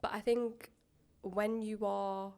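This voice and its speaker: A woman's voice: medium pitch, level tone, slow, a little mellow. A woman hosting a podcast, asking questions in a friendly, affirming way with lots of yeahs and likes. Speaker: podcast host. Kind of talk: casual interview chat.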